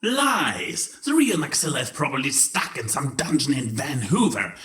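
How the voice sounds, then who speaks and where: A man's voice: mid-range voice, very animated, moderate pace, fairly bright tone. A man voicing a hot-headed, accusing male changeling, an insect-like pony creature, in a bombastic audio drama manner. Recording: roomy, echoing voice track.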